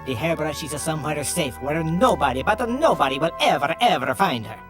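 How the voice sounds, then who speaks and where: A man's voice: sits high, big pitch swings, fast talker, over music. A man voicing a quarrelsome male vegetable barber with a hammy mock Italian accent, in a cartoon.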